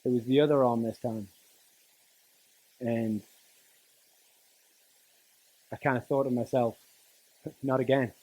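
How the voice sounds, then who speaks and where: Middle aged guy, giving a personal motivational talk, kinda halting and chatty, dry humour. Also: mid-range voice, steady tone, mellow, rough recording. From Newcastle, northern England. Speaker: teacher and coach giving a speech to students.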